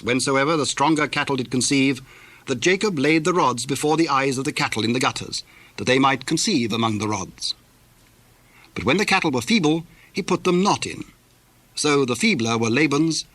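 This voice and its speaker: A man giving measured, formal scripted narration for a dramatized Bible reading. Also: mid-range voice, lively intonation, sharp tone, dry recording.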